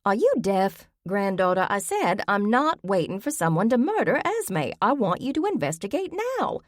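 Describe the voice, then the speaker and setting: A woman's voice: medium pitch, sing-song, moderate pace, no room sound, clean studio sound, voice pushed up, lightly acted. A woman voicing a stubborn, feisty old grandmother with an emphatic, folksy way of talking in an audiobook. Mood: insistent. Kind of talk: audiobook character voice.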